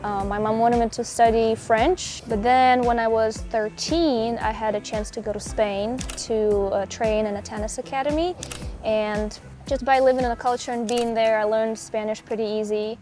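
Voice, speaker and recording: Brief: young woman, fairly high voice, reflective athlete talking outdoors. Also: fairly even intonation, moderate pace, a little mellow, soft background music. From Russia. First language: Russian. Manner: reflective, with small restarts. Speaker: pro pickleball player, guest. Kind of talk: casual interview answers. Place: outdoors on a hiking trail.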